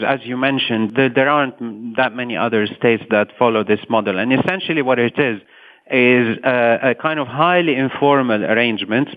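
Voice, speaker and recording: Lebanese man guest, thoughtful, halting; phone-line audio. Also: mid-range voice. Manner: thoughtful, hesitant with fillers and restarts. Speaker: guest, satirist. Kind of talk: casual interview talk. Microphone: remote phone or call line.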